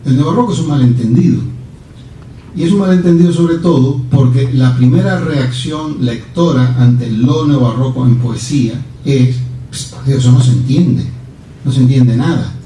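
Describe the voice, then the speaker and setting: Animated man in a very echoey room. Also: medium pitch, normal speed. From Cuba. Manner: animated, digressive, asks for agreement with "¿no?". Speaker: guest poet answering questions. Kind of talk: discursive talk and Q&A answers. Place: lecture room. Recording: roomy hall, speaker far from the mic, faint hiss.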